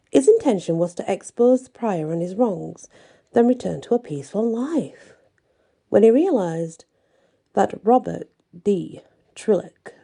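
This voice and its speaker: A woman narrating a scripted folk tale in a measured storybook manner, with deliberate pauses between phrases. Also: lowish voice, vocal fry, mellow.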